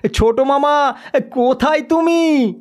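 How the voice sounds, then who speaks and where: A man's voice: very high-pitched, slow, voice pushed up. A man playing a frightened young nephew who calls out pleadingly in an audio horror story. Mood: frightened calling. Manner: shouting, pleading. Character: frightened young nephew calling out to his uncle.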